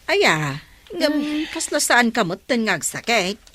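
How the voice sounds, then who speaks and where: A woman's voice: a little high, big pitch swings, a little slow, bright, forceful tone, no room sound, heavily acted. A woman playing an inquisitive, exclaiming village councilor in a comedy radio drama. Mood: surprised, concerned.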